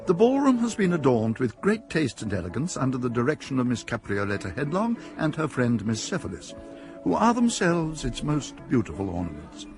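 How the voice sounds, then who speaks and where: Male narrator, wry measured storytelling, animated intonation, music beneath. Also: mid-range voice. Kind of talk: scripted radio drama narration.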